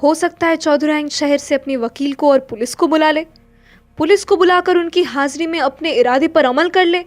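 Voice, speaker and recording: A woman's voice: sits high, moderate pace. A woman narrating a literary story in a dramatic audio-play style. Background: faint music.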